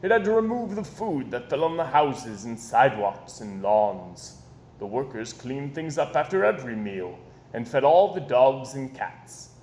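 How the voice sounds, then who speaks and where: A man reading a children's story aloud in an expressive, animated storytelling style, with dramatic pauses and emphasis. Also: medium pitch. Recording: echoey room with background noise.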